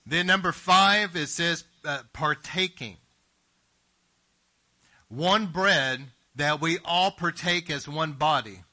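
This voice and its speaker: A pastor preaching an earnest, emphatic sermon, often checking in with the congregation. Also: male voice, fairly high pitch, slow, pushed and bright. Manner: earnest, emphatic preaching with frequent "Amen?" asides.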